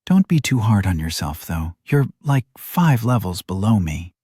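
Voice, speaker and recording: A man's voice: on the low side, very animated, a little slow, mellow, husky, dry recording, heavily acted. A young male archer in a fantasy tale, played by a male narrator. He is casual and candid, with an easy cockiness. The recording is dry and close. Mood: cocky, teasing.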